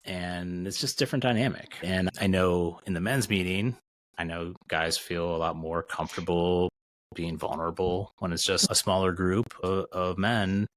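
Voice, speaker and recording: A man's voice: deep voice, steady tone, moderate pace, no echo. A man in his forties co-hosting a podcast, talking casually and candidly and swearing now and then. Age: 40s. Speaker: podcast co-host.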